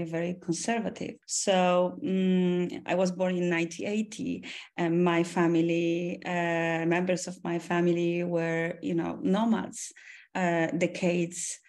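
A woman in her forties from Poland, an academic answering interview questions in a measured way, with frequent restarts and fillers. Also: on the low side, little pitch movement, a little mellow. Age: 40s. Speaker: academic and foundation president.

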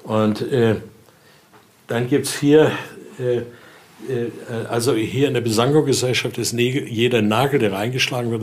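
An older man explaining collection items conversationally as a researcher, in German with non-native grammar slips and frequent questioning tags at sentence ends. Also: on the low side.

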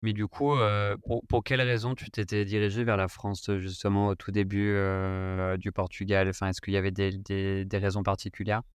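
A male podcast host puts questions to his guest in a steady manner. His voice is lowish and quite flat in melody, smooth and clear. It is recorded dry and close. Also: moderate pace, few pauses, no room sound. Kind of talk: casual interview questions.

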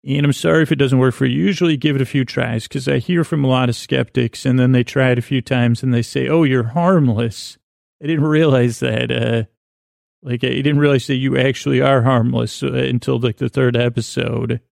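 Creaky, mumbling man rambling at bedtime. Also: medium pitch, fairly even intonation, normal speed, dry recording, studio quality. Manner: meandering, mumbling, stumbling, gently absurd. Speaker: podcast host. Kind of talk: rambling bedtime monologue to lull listeners to sleep.

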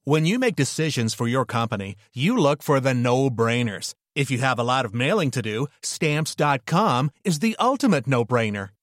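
A man's voice: fairly high pitch, no room sound, studio quality. A man reading a scripted commercial as an announcer. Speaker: advert announcer. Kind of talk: scripted ad narration.

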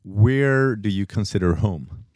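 A Swedish man hosts a relaxed interview and speaks at an unhurried pace. His voice is deep, and his questions come with plenty of fillers and restarts. The sound is dry and close to the mic. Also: no echo. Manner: easygoing, hesitant questions full of fillers. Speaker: podcast host. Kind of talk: casual interview.

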